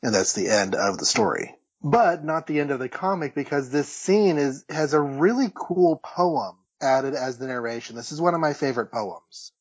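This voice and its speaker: Husky man chatting on a lo-fi podcast. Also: medium pitch, animated, moderate pace, few pauses. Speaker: podcast co-host. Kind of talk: casual comic-book discussion, recapping. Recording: dull, lo-fi audio, slightly roomy.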